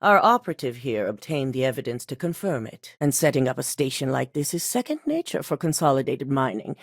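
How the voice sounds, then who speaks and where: A woman's voice: low voice, no echo, studio quality. An actress playing a cold, commanding female corporate executive in a video game, speaking in a curt, businesslike way.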